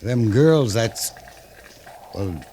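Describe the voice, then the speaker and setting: A man's voice: on the low side, animated, very slow, mellow, heavily acted. A man playing an earnest, rustic army scout in an old-time radio western. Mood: grave, dutiful. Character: earnest, plain-spoken male army scout. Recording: noisy vintage radio recording with ambience.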